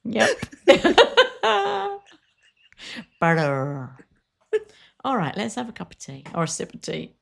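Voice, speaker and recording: Woman, podcast co-host, gravelly voice, rambling casual chat. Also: medium pitch, huge pitch swings, takes it slowly, pauses often. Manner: chatty, rambling, laughs easily.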